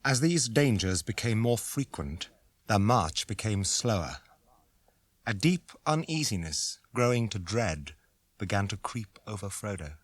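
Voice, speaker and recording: Male radio drama narrator, slow grave delivery, mid pitch, very dry studio sound. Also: big pitch swings, slightly rough, dry recording. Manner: measured, grave.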